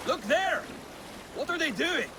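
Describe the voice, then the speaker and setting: A scoffing, boastful Viking raider, pitched very high for a male. He talks like a game cutscene warrior hungry for plunder, over noisy water and boat sounds. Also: expressive, measured pace, exaggerated voice. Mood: excited. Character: brash, scornful Viking warrior man eager for plunder. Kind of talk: video game cutscene acting. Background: water and boat noise.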